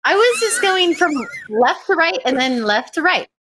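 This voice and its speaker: A woman's voice: very high voice, sing-song, normal speed, pushed and bright. A woman in casual group banter, bubbly, chiming in with short interjections. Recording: very noisy stream mic with clicks.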